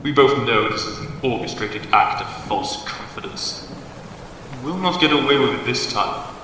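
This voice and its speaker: An actor playing an ancient, menacing devil bound to a bridge, making pompous, theatrical declarations in an audio drama through heavy reverb. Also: male voice, mid-range voice, moderate pace. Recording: distant, cavernous echo, noisy ambience.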